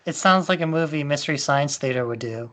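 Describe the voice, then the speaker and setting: A man's voice: on the high side, fairly quick, a bit bright. A man co-hosting a podcast, chatting casually about movies. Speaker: podcast co-host.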